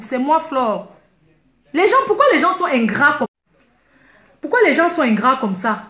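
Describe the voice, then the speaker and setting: A woman's voice: sits high, fairly bright tone. A woman ranting indignantly to her viewers, emphatic and repeating herself. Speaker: online video commentator. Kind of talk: heated personal rant to viewers. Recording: echoey room.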